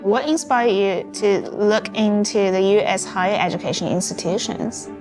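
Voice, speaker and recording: A woman's voice: medium pitch, moderate pace, music in the background, rough recording. A woman from Shanghai, a college junior, speaking briefly and plainly in a promo clip. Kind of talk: interview clip in a promo video.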